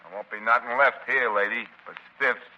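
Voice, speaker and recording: An actor playing a bullying gangster henchman, obedient to his boss, talking rough slang in a 1930s radio drama. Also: medium pitch, fairly even intonation, brisk, sharp tone. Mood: rough, eager. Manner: rough slangy tough-guy talk. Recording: muffled 1930s radio recording.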